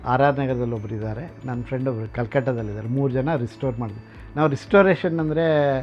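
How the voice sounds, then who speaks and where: Dull-sounding man explaining his collection, music behind. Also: mid-range voice. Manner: halting explanations with frequent pauses, English words mixed in. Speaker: vintage radio collector and restorer. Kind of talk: informal explanatory museum tour to camera. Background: background music.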